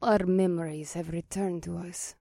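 A woman's voice: on the low side, steady tone, normal speed, gravelly, warm tone, dry recording, clean studio sound. A woman voicing a meek, apologetic witch in a fantasy audiobook, pleading and deferential to her master. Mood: regretful, devoted. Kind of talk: audiobook character dialogue.

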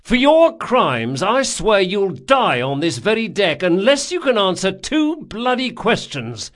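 A man voicing a foul-mouthed young sea captain in an audiobook, shouting commands and theatrical insults. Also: sits high, big pitch swings, a little slow, voice pushed up, exaggerated voice. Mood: mock-furious. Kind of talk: audiobook character acting.

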